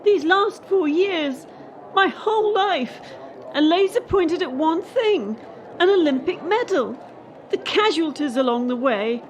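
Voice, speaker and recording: A woman's voice: very high voice, animated, measured pace, a little hiss or hum. A woman acting in a radio play as a bitter, defiant young elite gymnast under pressure. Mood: solemn, resolute.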